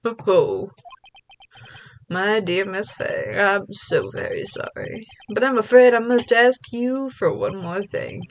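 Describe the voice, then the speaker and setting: A woman with a stuffy low voice voicing a pompous, smug male villain who speaks with gloating courtly menace in a video game read-along. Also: slow, slight background noise, lightly acted.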